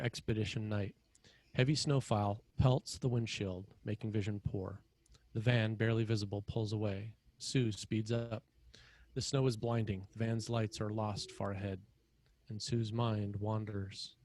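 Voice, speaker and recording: Low-pitched man narrating a screenplay. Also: fairly even intonation, unhurried, a little mellow. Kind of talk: scripted screenplay narration, present tense.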